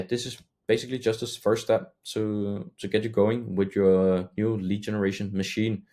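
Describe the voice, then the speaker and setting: Man, very deep voice, English speaker, casual how-to talk, rambling, corrects himself often. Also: darkish tone. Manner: loose and rambling, frequent "um" and self-corrections. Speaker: agency owner teaching cold email outreach.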